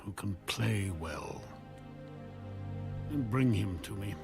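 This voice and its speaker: A man playing a troubled, commanding biblical king with gravelly, measured speech in an audio Bible drama. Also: lowish voice, expressive, heavily acted. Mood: weary, commanding. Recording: music behind the voice.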